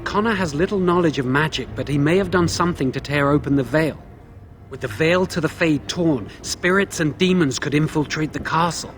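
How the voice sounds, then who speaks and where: Man acting a high-voiced, anxious young mage prisoner. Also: a little fast, faint music behind, natural voice. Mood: anxious explaining. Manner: earnest, pleading, remorseful. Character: anxious young male mage prisoner, guilt-ridden and pleading. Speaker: video game character. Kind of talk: dramatic fantasy game dialogue.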